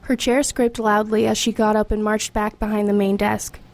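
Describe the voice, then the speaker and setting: A woman's voice: medium pitch, flat delivery, normal speed, a little mellow, faint music behind, natural voice. An actress playing a streetwise teenage girl in a radio drama, confiding and matter-of-fact as she tells her story. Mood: wry, confiding. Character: streetwise, wry, formerly homeless teenage girl. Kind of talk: first-person narration and dialogue in radio drama.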